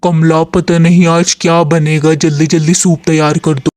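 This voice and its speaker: A man's voice: a little high, little pitch movement, normal speed, a little mellow, dry recording, studio quality, close to an everyday voice. A man playing a humble, earnest village soup seller in a moral story. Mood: anxious. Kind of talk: moral story character dialogue.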